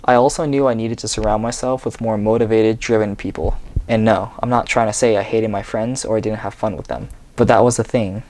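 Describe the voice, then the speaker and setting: A man's voice: fairly low pitch, a little fast. A young man from the Seattle area, reading a personal story earnestly from a script in a small bedroom. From Seattle, USA. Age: young adult. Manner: earnest, steady reading off a tablet. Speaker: vlogger.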